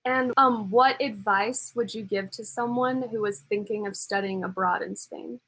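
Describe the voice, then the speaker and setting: A woman's voice: medium pitch, steady tone, moderate pace, fairly bright tone, husky, rough recording. A young woman hosting a student show, asking casual, rambling interview questions.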